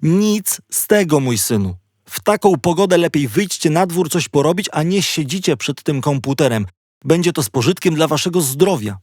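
A man's voice: on the high side, expressive, normal speed, dry recording, clean studio sound, pitched above the performer's own voice. A man voicing a firm, motherly aunt who scolds and urges, in a children's audiobook. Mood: firm, scolding. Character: bossy, concerned aunt scolding her gaming son.

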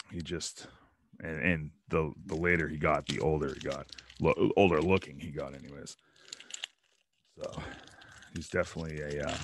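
A man in his thirties hosting a casual solo show, rambling and joking as he opens card packs in a small home room on a boom mic. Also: vocal fry, no echo. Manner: rambling, joking asides about players. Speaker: podcast host opening baseball card packs. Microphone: studio mic on boom arm, headphones.

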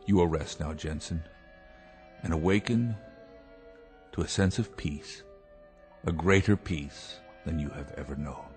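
An actor playing a calm, persuasive inventor and master of the house in a radio drama, speaking in a measured, formal way. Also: male voice, very deep voice, faint music behind. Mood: soothing, wistful.

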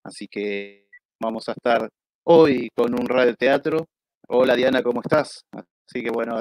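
A man's voice: medium pitch, no room sound, low-quality recording. A man in his forties with an Argentine accent, casually presenting a live cultural show as host. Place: indoors at a computer, live stream.

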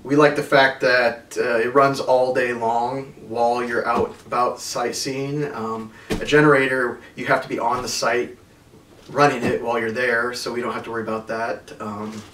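A man in his thirties chats plainly through a home unboxing, filling his pauses with ums. He is picked up by a camera well across a carpeted living room, so the sound is roomy and a little distant, with faint noise underneath. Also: mid-range voice. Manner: relaxed and plainspoken, many ums. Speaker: RV owner reviewing gear. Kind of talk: unboxing review. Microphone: far camera.

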